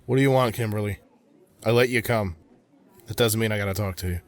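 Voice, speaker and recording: A bitter young man in an audio drama, grieving and bent on revenge, acted by a man. His voice is fairly low. He speaks in blunt, clipped, hostile phrases. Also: a little fast, lightly acted.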